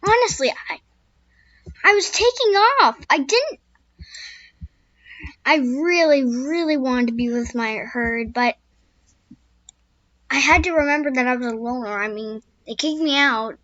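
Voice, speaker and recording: A woman voicing a young wild male horse, a skittish loner, telling his own story in first person with a squeaky voice. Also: expressive, measured pace, pauses often, a bit bright, dry recording, dull audio. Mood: panicked. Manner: first-person storytelling with restarts, turning frantic when scared. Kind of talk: amateur spoken story.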